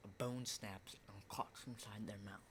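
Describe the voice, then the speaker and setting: A man playing an unsettling, lonely, hardened apocalypse survivor in an ASMR roleplay, speaking in a halting, breathy whisper and soft voice. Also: lowish voice, fairly even intonation, a little hiss or hum. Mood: fearless, reassuring. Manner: hushed, halting, repeats words, mild swearing.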